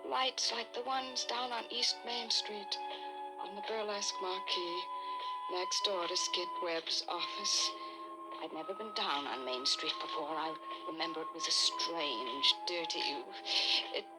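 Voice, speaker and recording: An actress playing a wealthy, desperate and haughty wife in an old radio suspense drama, speaking with dramatic intensity. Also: female voice, on the low side, steady tone, measured pace, natural voice, poor audio. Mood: panicked, dazed. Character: desperate, haughty wealthy wife narrating her own crime. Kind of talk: 1940s radio suspense acting, first-person narration. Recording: vintage radio recording with dramatic music behind.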